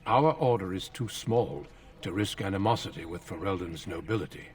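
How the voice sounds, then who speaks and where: An actor playing a grave, courteous warrior recruiter in a fantasy game, speaking formally and solemnly. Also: male voice, sits low, moderate pace, distant mic, lightly acted. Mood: measured.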